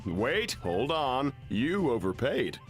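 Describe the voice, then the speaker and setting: Unhurried, easygoing restaurant chef. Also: male voice, medium pitch, big pitch swings, soft background music. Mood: puzzled. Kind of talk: video game voice acting.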